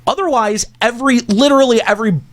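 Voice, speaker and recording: A man hosting a radio show with casual banter and rambling, halting phrasing. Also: extremely high pitch, fairly bright tone. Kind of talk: casual banter between hosts.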